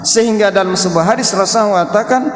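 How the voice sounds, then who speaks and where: A man preaching a sermon, explaining point by point in deliberate phrases with Arabic recitation. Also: sits high. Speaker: Islamic preacher. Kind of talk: sermon, dawn mosque lecture. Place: mosque hall. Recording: echoey hall, long reverb.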